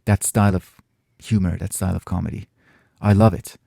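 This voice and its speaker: Man, Farsi native speaker, gravelly, dark mellow tone, dry close recording, pauses and restarts. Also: low-pitched, flat delivery, no echo. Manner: thoughtful, pausing often and restarting phrases. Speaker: channel host answering viewer questions. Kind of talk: casual reflective Q&A monologue.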